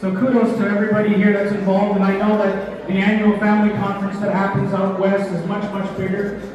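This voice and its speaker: A man from Sri Lanka representing an event company, giving an upbeat promotional pitch to a conference audience. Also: monotone, fairly quick, lo-fi sound. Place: large conference hall. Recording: echoey hall, distant mic, crowd noise. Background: crowd chatter.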